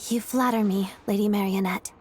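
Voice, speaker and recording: A woman's voice: mid-range voice, steady tone, brisk, a little mellow, a little hiss or hum, lightly acted. A woman voicing a poised, reserved, polite young ballerina soldier in a video game, composed and formal. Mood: courteous. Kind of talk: scripted video game voice acting. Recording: game music behind the voice.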